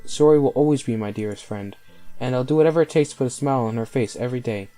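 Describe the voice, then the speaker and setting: Mellow-voiced man reading a game aloud. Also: medium pitch, quick pace, soft background music. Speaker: let's play host. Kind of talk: reading visual novel text aloud.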